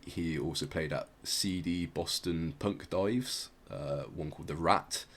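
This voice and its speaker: A man hosting a podcast, informally explaining music history in a halting way as he searches for words. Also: low-pitched. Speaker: podcast host.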